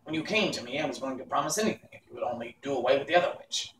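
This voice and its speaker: A man's voice: medium pitch, expressive, rapid-fire, fairly bright tone, reverberant, recorded from a distance, slight background noise, close to an everyday voice. A man voicing a little old humbug wizard, chatty and apologetic, in a storybook reading. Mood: confessional storytelling.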